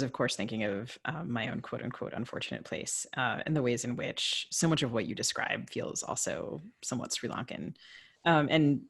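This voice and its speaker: A woman's voice: very deep voice, a bit bright. A woman co-hosting a podcast, asking thoughtful, discursive questions in a conversational literary interview style.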